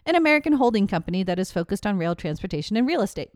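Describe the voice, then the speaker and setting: A woman's voice: mid-range voice, sing-song, rapid-fire, dry recording, clean studio sound. A young adult woman co-hosting a podcast in casual chat, bubbly and laughing often, with lots of "okay" and "whew.". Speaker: podcast co-host.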